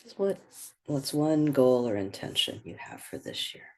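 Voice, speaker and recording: A meditation guide speaking gently and without hurry, leaving pauses between short phrases. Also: mid-range voice, big pitch swings.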